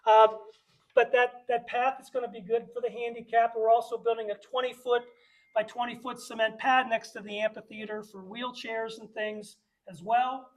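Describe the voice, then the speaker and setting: A man giving an informal prepared speech at a banquet, pitching a fundraising project to club members. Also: level tone, normal speed. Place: banquet event room. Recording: roomy echo, distant microphone.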